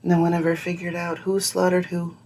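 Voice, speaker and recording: An actress playing a thoughtful woman musing on dark local history in a measured, journal-like audio drama monologue. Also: fairly low pitch, completely flat delivery. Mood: pensive. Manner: measured, pensive.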